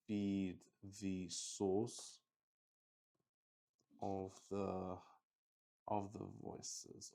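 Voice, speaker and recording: A man improvising narration for a solo tabletop roleplaying game as its host, halting as he thinks aloud. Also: deep voice, vocal fry, dark tone.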